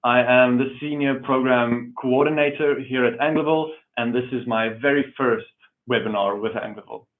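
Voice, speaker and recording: A man from South Africa, a program coordinator, giving a formal, measured welcome in an online webinar. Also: medium pitch, fairly even intonation. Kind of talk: webinar presentation. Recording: tinny phone-like online audio.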